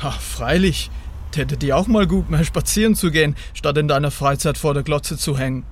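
Brief: actor as a joker colleague, higher voice, faint traffic. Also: male voice, moderate pace, close to an everyday voice. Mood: teasing. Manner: teasing, easygoing.

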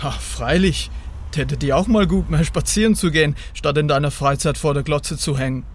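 Man playing a teasing police colleague, radio drama, higher voice, calm, easygoing, vehicle noise behind. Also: moderate pace, lightly acted.

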